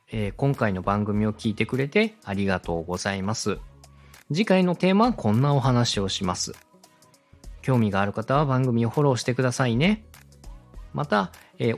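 Man teaching with lively, swooping intonation. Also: medium pitch, normal speed, soft background music. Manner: polite, instructive, step-by-step. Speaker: podcast host and radio director teaching podcast making. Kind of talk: explanatory teaching talk.

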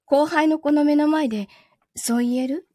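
A woman acting out a wronged girlfriend in an audio drama, high-voiced and possessive. She interrogates with cool, soft menace and keeps a calm, taunting control. The sound is close and dry. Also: moderate pace, no room sound, lightly acted. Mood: controlling. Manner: calm interrogating, softly taunting, sometimes whispering. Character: possessive, coolly menacing wronged girlfriend holding her lover captive.

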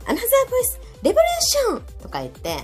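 A woman with a Kansai accent chatting casually as a podcast host, talkative and quick to react in a giggly way. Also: very high voice, wildly swooping pitch. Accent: Kansai Japanese. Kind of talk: casual chat. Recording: background music under the voice.

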